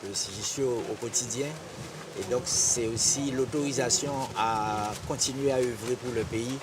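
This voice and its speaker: A man giving halting, reflective interview answers, searching for his words. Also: medium pitch. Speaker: water sports figure honoured at an inauguration. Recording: distant mic, noisy outdoor ambience.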